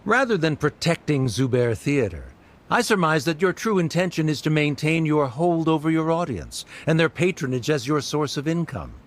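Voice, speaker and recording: An actor playing a haughty, coldly rational scholar in a video game, speaking formally and condescendingly. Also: male voice, fairly high pitch, sing-song, normal speed, slight background noise. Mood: accusing. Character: haughty male scholar accuser, cold and coolly rational. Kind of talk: scripted video game dialogue.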